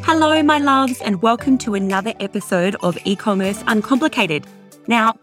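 A middle-aged woman hosting a podcast, upbeat, affectionate and a bit salesy, with a voice gone husky from overuse. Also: fairly high pitch, normal speed, a bit bright, soft background music. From Australia. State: hoarse from overuse. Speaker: podcast host. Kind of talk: podcast monologue.

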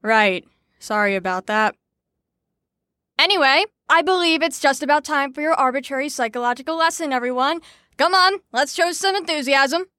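Audio drama role: sarcastic woman running a psychology lesson played by an actress, higher voice, dry studio sound, falsely upbeat. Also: expressive, normal speed, pushed and bright, dry recording. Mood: sardonic. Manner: sardonic, mock-cheerful.

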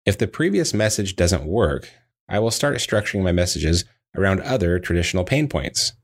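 A man narrating a scripted training lesson in a measured, explanatory way, as if reading from prepared text. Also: sits low, sing-song. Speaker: dental practice trainer.

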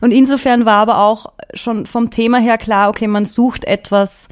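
A woman's voice: on the high side, steady tone, moderate pace. Austrian woman in reflective, casual conversation. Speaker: singer-songwriter in conversation.

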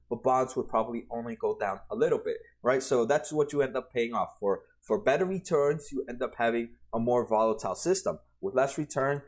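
A man in his twenties explaining retirement funds over slides in a casual way, often restarting and adding "right?". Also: mid-range voice. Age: 20s. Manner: conversational, many restarts and "right?" tags. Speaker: personal finance explainer.

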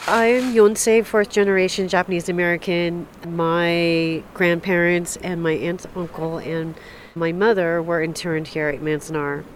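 Female interview participant, lowish and level in intonation, plain self-introduction, slight background noise. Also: little pitch movement, measured pace. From Los Angeles, US.

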